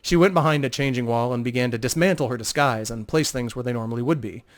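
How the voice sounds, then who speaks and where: A man's voice: mid-range voice, fast talker. A man narrating a fantasy tale as a dramatic scripted audiobook reading.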